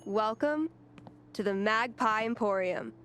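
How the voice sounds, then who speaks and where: A woman's voice: mid-range voice, lively intonation, measured pace, fairly bright tone. An actress playing a guarded, theatrical woman who keeps a magic shop in a fantasy video game. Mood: guarded, formal. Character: mysterious woman shopkeeper of a magic emporium, guarded and formal. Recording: clear background noise.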